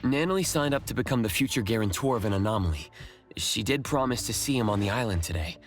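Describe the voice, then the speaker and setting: A man voicing an earnest, observant, even-tempered young protagonist in a video game. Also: medium pitch, fast talker, soft background music, lightly acted. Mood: puzzled.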